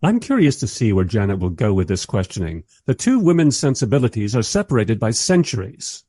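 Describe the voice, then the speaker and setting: An animated, curious narrator. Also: male voice, lowish voice, fairly quick. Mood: intrigued, wry. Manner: confiding, wryly observant. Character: curious male narrator reviewing a recorded interview. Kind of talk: scripted radio play narration.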